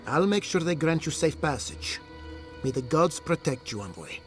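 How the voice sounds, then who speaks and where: An actor playing a wary, grave animancer in a video game, speaking in a measured, formal way. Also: male voice, on the high side, lively intonation, music in the background, close to an everyday voice. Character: wary, grave male animancer favouring caution. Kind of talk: scripted video game dialogue.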